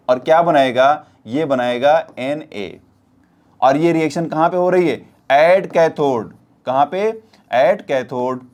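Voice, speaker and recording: Male teacher, slightly high voice. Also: a little mellow. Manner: didactic, repeats points with frequent check questions. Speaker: science teacher for exam preparation. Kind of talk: spoken classroom lesson.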